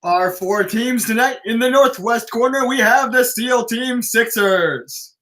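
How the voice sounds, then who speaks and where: A brash male announcer acted by a man at a script read with a high, bright voice. He works the crowd with showy, teasing lines, like a master of ceremonies at a race. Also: a little slow, full character voice. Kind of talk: live table read of a film script.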